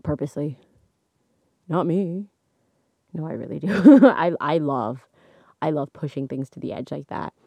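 A young woman hosting a solo podcast, chatting casually into a microphone. She laughs often, and her voice is slightly hoarse. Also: unhurried, pauses often, no room sound. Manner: chatty, laughs often, animated swings in pitch. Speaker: podcast host. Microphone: studio microphone.

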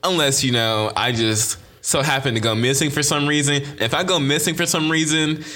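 A man's voice: a little high, fairly even intonation, normal speed, sharp tone. A young man with a slight lisp hosting his own podcast, talking in a sassy, emphatic way and repeating his catchphrases. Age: young adult. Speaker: podcast host. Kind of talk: casual solo podcast intro.

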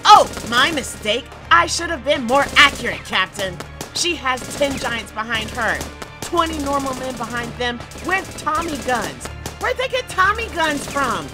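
A woman's voice: high voice, very animated, measured pace, music in the background. An actress playing a loyal, candid policewoman who speaks deferentially but frankly to her captain in an audio drama. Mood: panicked, indignant.

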